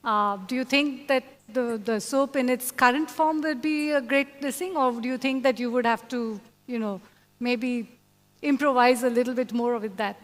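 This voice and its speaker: A woman's voice: a little high, moderate pace, long reverb tail. A woman hosting a conference panel interview, hesitant with many fillers. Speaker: host. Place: conference hall.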